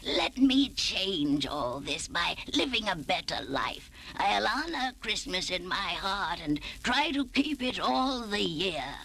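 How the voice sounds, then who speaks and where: Woman putting on a gruff, creaky old miser. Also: medium pitch, very animated, slow pace, hardly any pauses, sharp tone, a little hiss or hum, exaggerated voice. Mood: anxious, pleading. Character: cross, tight-fisted old man, gruff miser. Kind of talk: old-time radio storytelling. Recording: vintage 78rpm children's record.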